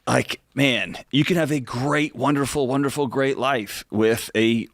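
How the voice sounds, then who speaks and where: Guy in his 40s co-hosting a talk show, mid pitch, dry close mic, earnest and emphatic. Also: fairly even intonation, unhurried, gravelly, no room sound. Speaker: co-host. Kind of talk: casual radio show chat.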